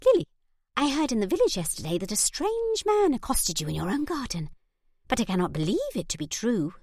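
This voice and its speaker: A woman voicing an eager, excitable young noblewoman in an audiobook, gushing as she talks. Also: a little high, brisk, husky, no echo, studio quality, pitched above the performer's own voice, exaggerated voice. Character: bubbly, warm young English noblewoman. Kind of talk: audiobook character voice.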